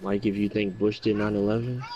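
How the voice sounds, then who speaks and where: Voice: deep voice, steady tone, brisk, dark tone, lo-fi sound, a little hiss or hum. A friend chatting dryly during a shared game, teasing and asking questions. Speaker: gaming friend. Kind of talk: casual gaming chat.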